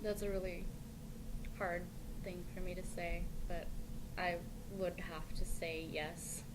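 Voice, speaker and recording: A woman's voice: lowish voice, steady tone, slow. A young woman answering interview questions in a thoughtful, hesitant way, with fillers like "I mean" and "like". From United States. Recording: noisy recording with ambient hiss.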